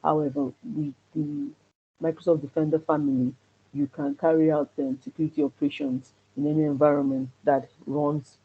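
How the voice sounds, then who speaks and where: A man who says he has a loud voice teaches a security class on a video call, hesitating often with ums and restarts. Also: on the high side, flat delivery, normal speed, breathy edge. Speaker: security trainer. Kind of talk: online class presentation. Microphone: computer mic on a video call. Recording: dull lo-fi video call audio.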